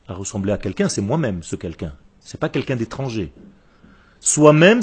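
Male religious teacher, Hebrew speaker. Husky voice, mid pitch, didactic and emphatic delivery in short phrases. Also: a little mellow. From Israel. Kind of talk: religious lecture to a group.

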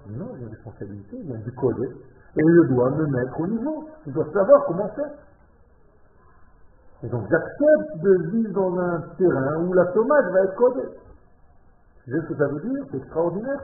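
A man's voice: high voice, very animated, normal speed. Man teaching, tinny and echoey. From Israel. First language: Hebrew. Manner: impassioned, swings from quiet to loud exclamations. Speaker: religious teacher. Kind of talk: Torah lesson to a live group. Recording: tinny phone-like echoey room.

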